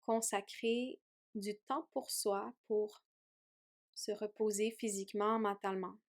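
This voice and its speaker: Slow, gentle woman guiding meditation. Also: mid-range voice, steady tone. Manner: gentle, soothing, with long pauses. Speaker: yoga teacher guiding a relaxation. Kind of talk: guided meditation.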